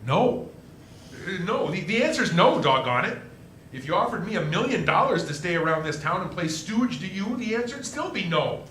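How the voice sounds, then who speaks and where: A highish, swooping male voice , acted by a man, for an earnest young husband in a radio play. He stammers and repeats himself, flustered and hot-headed. The room echoes around him. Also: big pitch swings, fairly bright tone. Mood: indignant. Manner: stammering, halting, emphatic. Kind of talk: live 1940s-style radio play acting. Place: live stage performance. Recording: roomy stage sound, faint noise.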